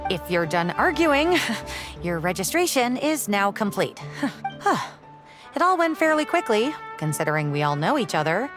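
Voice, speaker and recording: A woman voices a helpful clerk in a game. She is polite and patient with a lively, varied melody at a middle pitch, music behind her. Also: moderate pace, natural voice. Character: brisk, good-natured female registration clerk. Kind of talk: video game voice acting.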